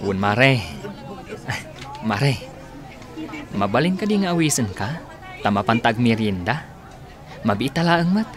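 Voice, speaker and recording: A man's voice: mid-range voice, sing-song, slow pace, long pauses, a crowd in the background. A man with an Ilocano accent playing a lovelorn, persistent family friend in a radio drama. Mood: coaxing.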